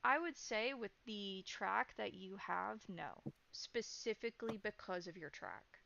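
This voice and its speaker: A woman's voice: medium pitch, lively intonation, a little slow, creaky, bright, forceful tone, dull audio. A woman narrating and making rulings as game master of a tabletop vampire game, in a conversational, descriptive and decisive way.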